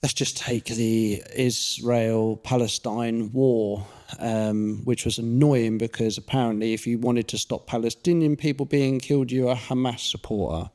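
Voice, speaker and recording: A man hosts a relaxed chat. He talks in a rambling, easygoing way and piles one thought onto the next. His voice is mid-pitched and ordinary. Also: measured pace, few pauses. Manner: rambling, chatty, many fillers and restarts. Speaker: podcast host. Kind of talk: casual interview chat.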